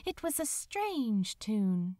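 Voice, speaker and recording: A woman reading fiction aloud as a dramatic narrator, expressive and measured, with deliberate pauses. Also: fairly high pitch, no room sound, studio quality. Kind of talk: dramatic scripted audiobook narration.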